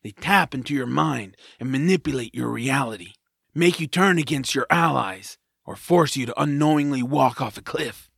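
Gruff, folksy male sidekick played by a man, raspy and creaky, a wary storyteller for a fantasy audio drama. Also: medium pitch, moderate pace, a bit bright, dry recording, clean studio sound. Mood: ominous. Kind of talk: family audio drama acting.

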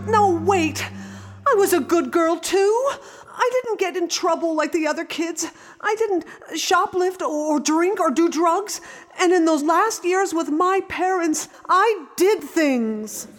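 A woman's voice: extremely high pitch, animated, slow, big-room sound, pitched above the performer's own voice, exaggerated voice. A woman acting a defensive, pleading grown daughter in an audio drama, emphatic and exasperated.

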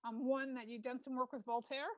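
An outgoing woman with a New York accent, hosting a show in loud, casual conversation. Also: sits high, little pitch movement, brisk, no echo. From New York, United States. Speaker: show host. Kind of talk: casual chat.

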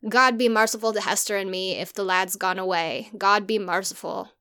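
A woman's voice: mid-range voice, fairly even intonation, sharp tone, smooth. A woman voicing a worried old farmer. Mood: brooding. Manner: slow, earnest, broad dialect.

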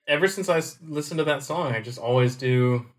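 A man with a mid-range voice co-hosting a podcast. He walks through game strategies in a relaxed, plain-spoken way. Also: medium pitch, brisk. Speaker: podcast co-host. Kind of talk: casual game discussion.

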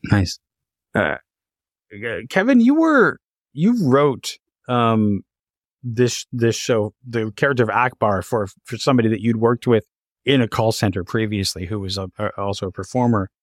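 A man hosting a casual interview, feeling his way haltingly into each question. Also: lowish voice, expressive, dry recording, clean studio sound. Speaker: interviewer, host.

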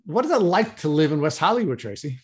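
A man from New York co-hosting a podcast, introducing the guest and asking measured, summarizing questions. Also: a little high, sing-song, husky. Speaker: podcast co-host. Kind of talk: interview hosting.

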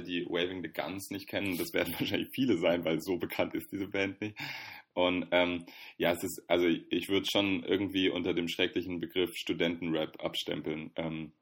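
Young male podcast co-host, very low voice, hesitant and wry, casual chat. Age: young adult. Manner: hesitant, many fillers and restarts, wry.

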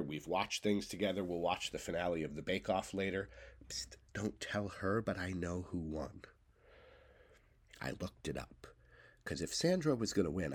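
A man's voice: low-pitched, breathy edge. A man in his thirties, congested and coughing, rambling loosely through a solo podcast from his bedroom. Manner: loose, stream-of-consciousness rambling. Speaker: podcast host. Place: home bedroom.

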